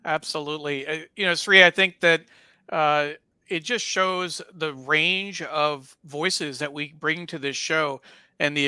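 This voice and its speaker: A man co-hosting a live talk show, commenting casually in a measured, hesitant way with pauses mid-sentence. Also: on the high side, bright, forceful tone, clear, resonant tone, dry recording.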